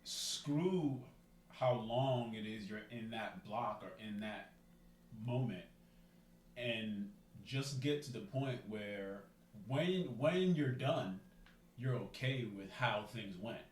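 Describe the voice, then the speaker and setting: A man who stutters, talking slowly and earnestly in a casual podcast conversation. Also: on the low side, distant mic. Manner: slow, earnest, stutters through blocks. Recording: roomy sound, away from mic.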